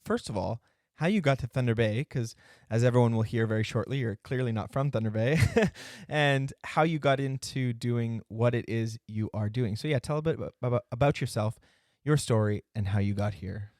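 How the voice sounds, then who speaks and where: A man's voice: mid-range voice, normal speed, hardly any pauses, a little mellow, dry recording, clean studio sound. A man hosting a podcast, interviewing a guest in a friendly way and laughing easily. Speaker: podcast host.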